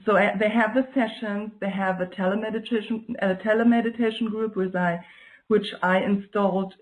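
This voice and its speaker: A woman's voice: mid-range voice, steady tone, normal speed, low-quality recording. A woman from Germany, a native German speaker with a German accent, speaks as an interview guest in a reflective, casual way, often searching for her words. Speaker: guest, client giving a testimonial.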